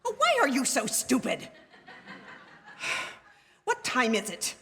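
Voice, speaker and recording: A woman's voice: sits high, huge pitch swings, drawn-out words, bright, forceful tone, heavily acted. An actress playing an anxious, demanding married woman on the telephone in a stage radio drama, agitated, haughty and insistent. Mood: exasperated. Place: theatre stage before an audience. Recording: live theatre stage with long reverb.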